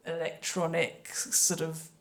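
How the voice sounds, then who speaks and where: A woman's voice: sits low, completely flat delivery, slow, gravelly. A woman speaking on a panel as a composer and sound designer, chatty, hesitant and often interrupting herself. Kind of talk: panel talk about creative work.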